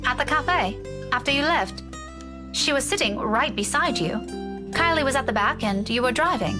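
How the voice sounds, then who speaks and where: An actress playing a blunt, needling schoolteacher with grudging kindness in a visual novel. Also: female voice, high voice, expressive, moderate pace, music in the background. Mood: matter-of-fact. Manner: frank, teasing, lecturing. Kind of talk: dramatic visual novel voice acting.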